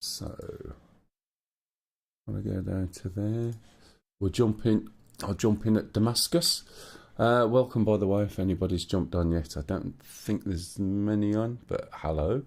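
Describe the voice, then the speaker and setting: A man with a hoarse, rumbly voice, chatting casually as a livestream host while he thinks aloud over a map. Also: fairly even intonation, unhurried, darkish tone. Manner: muses aloud to himself while checking a map. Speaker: streamer. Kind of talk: casual gaming livestream chat.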